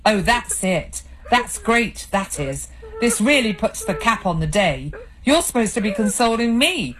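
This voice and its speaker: A woman's voice: fairly high pitch, lively intonation, slow, voice pushed up, rough recording. A woman reading the part of a drunk, distraught husband who has just lost his job, bitter and defensive in a row with his wife. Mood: angry. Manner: bitter, defensive, raised voice in arguments.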